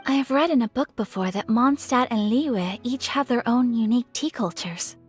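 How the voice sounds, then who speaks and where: A woman voicing a refined, gentle young noblewoman in a video game, speaking with formal courtesy and shy affection. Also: a little high, moderate pace, breathy edge, faint music behind. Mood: thoughtful, refined. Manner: formal, polite, softly hesitant phrasing. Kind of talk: scripted video game character voice acting.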